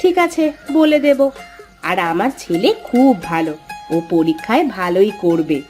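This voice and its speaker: A woman's voice: mid-range voice, big pitch swings, moderate pace, a little mellow, soft background music, lightly acted. A woman playing a caring village wife and doting mother in a dramatised moral story.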